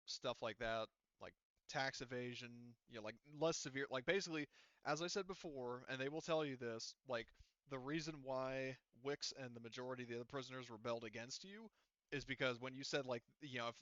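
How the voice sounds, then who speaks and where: Clear-voiced man game master, slightly dull recording. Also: medium pitch, a bit bright, dry recording. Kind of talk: casual tabletop game session talk.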